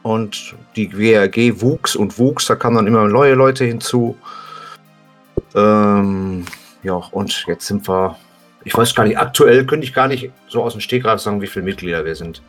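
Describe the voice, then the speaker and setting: A man's voice: on the low side, moderate pace, faint music behind. A man talking casually as an interview guest, explaining things in a colloquial, plain-spoken way. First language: German. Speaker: podcast guest, hobby musician.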